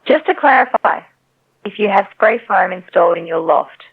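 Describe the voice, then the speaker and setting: A woman's voice: mid-range voice, lively intonation, normal speed, gravelly, slightly rough. Tinny phone-line woman, scripted caller. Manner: polite, persistent. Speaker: AI robocall telemarketer. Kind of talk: scripted sales call.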